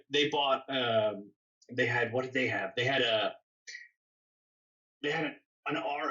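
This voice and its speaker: A man chats casually in a bright, forceful voice, often doubling back on his words. He sounds a little far from the microphone, and the recording is somewhat dull. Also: medium pitch. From born in England, grew up in Canada. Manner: rambling, self-correcting, self-deprecating. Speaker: interview guest, custom motorcycle builder. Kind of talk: casual conversational storytelling.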